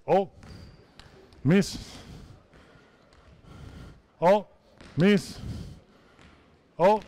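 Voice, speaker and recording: A man's voice: high-pitched, drawn-out words, mellow. A man from Spain in his fifties, a native Spanish speaker coaching players on court in a large indoor sports hall, giving short instructive commands. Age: 50s. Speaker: basketball coach. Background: basketballs bouncing.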